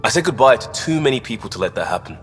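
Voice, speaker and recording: An actor playing a plainspoken, earnest crisis responder in a sci-fi video game, casual and wry. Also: male voice, medium pitch, very animated, very fast, fairly bright tone. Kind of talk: video game character dialogue. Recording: hall reverb, faint music behind.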